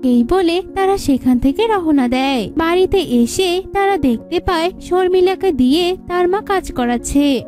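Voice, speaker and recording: A woman's voice: extremely high pitch, animated, unhurried, darkish tone. A woman narrating a scripted cartoon folk tale in a storytelling style. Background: music.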